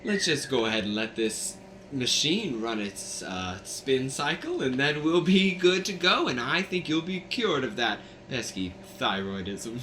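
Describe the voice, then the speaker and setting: A man's voice: mid-range voice, big pitch swings, a little slow, noisy background. A man voicing a zealous, eccentric female mad scientist, theatrical and grandly matter-of-fact, in an improvised comedy radio play. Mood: brisk, eager.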